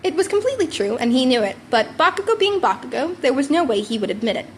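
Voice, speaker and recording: A woman narrates fiction in a fairly high voice. She reads like an amateur, sometimes repeating a stumbled word. It sounds like a home recording with some noise. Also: big pitch swings, quick pace. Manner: stumbles over words and rereads them. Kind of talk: narrated story reading.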